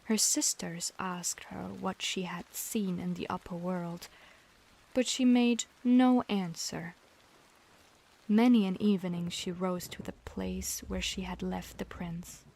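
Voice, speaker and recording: A woman with a British-leaning accent learned as a second language, reading a fairy tale aloud in a soft, half-whispered storybook manner. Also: fairly low pitch, takes it slowly, vocal fry, poor audio. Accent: British-leaning English learned as a second language. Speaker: streamer reading stories aloud. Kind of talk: slow bedtime storybook reading.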